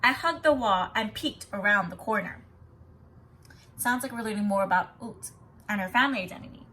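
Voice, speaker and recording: A woman with a bright voice reads aloud as a kindergarten teacher. Her storytelling is emphatic and instructive, made for young children. There is faint noise in the background. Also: medium pitch, moderate pace. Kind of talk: expressive children's read-aloud with explanations.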